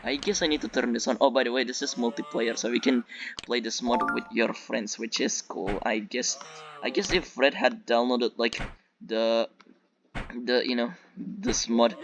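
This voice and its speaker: A man's voice: mid-range voice, steady tone, moderate pace, creaky, background noise. A man from Russia with a Russian accent, giving casual, rambling gameplay commentary and often repeating himself. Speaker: YouTube game reviewer. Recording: dull lo-fi mic with music behind.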